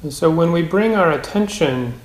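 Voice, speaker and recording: A man teaching a guided meditation in a measured, gentle way, with long pauses between phrases. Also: a little high, lively intonation. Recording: roomy, echoing space.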